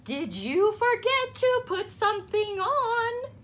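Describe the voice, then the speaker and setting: A woman's voice: very high voice, very animated, slow, fairly bright tone, pitched above the performer's own voice, exaggerated voice. A woman voicing a firm mother frog in a children's storybook, calling out to her child in sing-song questions. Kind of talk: children's storybook read-aloud.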